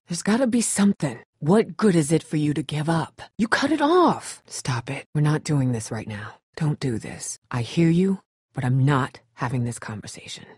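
A tough woman survivor in a dramatic video game, with a low, husky voice. She is blunt and no-nonsense yet caring, and her pitch moves widely as she speaks. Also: darkish tone, no echo, clean studio sound. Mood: earnest, exasperated.

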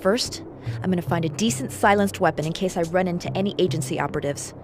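A woman's voice: lowish voice, fast, noisy background, natural voice. A woman playing a determined ex-agency operative in a video game, speaking in a terse, no-nonsense way. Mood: focused, businesslike. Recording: music behind the voice.

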